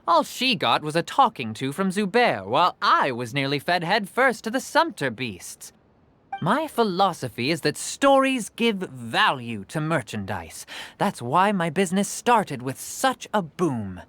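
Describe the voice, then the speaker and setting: A man's voice: a little high, wildly swooping pitch, measured pace, pushed and bright, exaggerated voice. An actor playing a jovial, persuasive merchant with salesman patter, in video game dialogue. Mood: reflective. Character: smooth-talking adult male merchant, jovial salesman. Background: game music.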